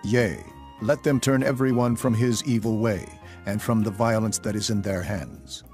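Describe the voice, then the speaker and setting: A man playing a solemn, authoritative king proclaiming gravely in a dramatised scripture reading. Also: fairly low pitch, normal speed, a little mellow, faint music behind, close to an everyday voice. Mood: grave, commanding.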